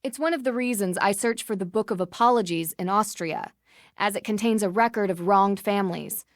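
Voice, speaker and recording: A woman's voice: mid-range voice, fairly quick, no room sound, studio quality, close to an everyday voice. An actress playing an earnest, determined young female detective in a video game, explaining things methodically. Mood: focused. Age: young adult. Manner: clear-headed, methodical, explanatory.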